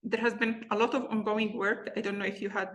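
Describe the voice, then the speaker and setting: A woman's voice: mid-range voice, level tone, a little fast, fairly bright tone. A woman speaking on a policy panel, hesitant, with many fillers as she searches for words. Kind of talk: roundtable policy discussion.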